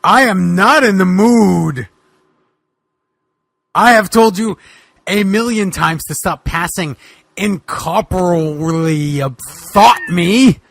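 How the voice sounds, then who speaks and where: A man playing an exasperated, brooding boogeyman detective in a loud, melodramatic dramatic reading. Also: high voice, vocal fry, no room sound, heavily acted. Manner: loud, drawn-out, melodramatic delivery.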